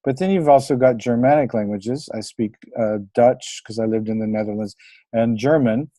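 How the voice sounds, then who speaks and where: A middle-aged man teaches a language webinar in an easygoing, encouraging way, sprinkling in small fillers. His voice is mellow and warm, at an ordinary pitch. The recording is close and dry, with no room sound. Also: normal speed, no room sound. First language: English. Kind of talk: webinar presentation.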